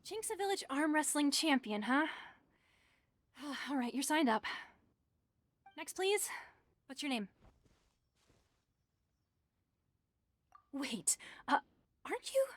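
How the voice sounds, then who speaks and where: A woman's voice: high-pitched, very animated, a little slow, long pauses, no room sound. A woman playing a polite, starstruck young tournament registrar in a video game, hesitant and easily flustered. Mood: businesslike.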